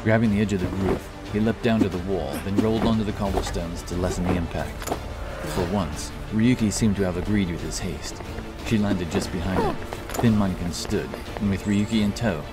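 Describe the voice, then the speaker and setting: Deep guy reading a fantasy story, steady, music playing loud underneath. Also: a little fast. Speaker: narrator. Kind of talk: scripted fantasy narration. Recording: narration over loud music.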